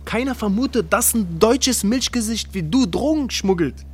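A man's voice: high voice, lively intonation, normal speed, husky. An actor playing a brash, teasing young male drug dealer in a radio drama, pushy and crude in streetwise slang.

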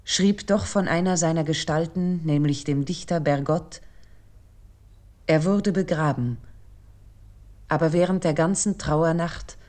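A woman with a low, very soft-toned voice narrates a literary essay for radio. Her delivery is measured and formal, reflecting on the text as she reads.

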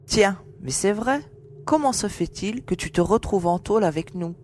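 A woman's voice: medium pitch, expressive, normal speed, darkish tone, slight background noise, close to an everyday voice. Brief: woman voicing a curt, demanding young crewwoman in a sci-fi audio drama. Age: young adult. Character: sharp-tongued young woman crew member.

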